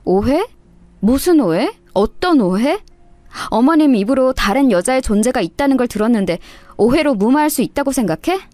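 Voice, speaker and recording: A woman's voice: high voice, lively intonation, moderate pace, dark tone, dry recording, faint music behind. A woman voicing a wronged young wife and mother, indignant and confrontational, in a dramatized story. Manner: confrontational, wounded. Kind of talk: dramatized radio story dialogue.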